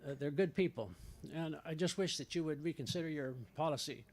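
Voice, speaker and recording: Indignant older man, rambling and halting. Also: a little high, a little mellow. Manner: indignant, rambling with many fillers. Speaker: retired professor at public comment. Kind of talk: unscripted public comment. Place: school board meeting room.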